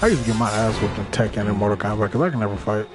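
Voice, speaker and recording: A man griping casually as a radio show co-host in heavily profane slang, with music clearly playing behind. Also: on the low side, rapid-fire. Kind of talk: casual chat.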